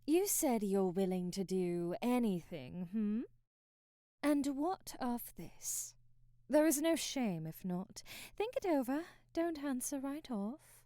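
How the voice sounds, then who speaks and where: Woman acting theatrical, teasing lady magician, very animated. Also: fairly high pitch, slow pace, dry recording, studio quality. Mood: flirtatious, teasing. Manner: theatrical, teasing, drawling asides and murmurs. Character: eccentric traveling woman magician, teasing, brash, flirtatious. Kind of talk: intimate ASMR roleplay acting.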